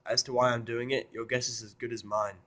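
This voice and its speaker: Man acting a gruff, forceful, reluctant cynic. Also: medium pitch, level tone, a little fast, lightly acted. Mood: dry, annoyed. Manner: curt, dry, grunting. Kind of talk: amateur fan fiction audio drama.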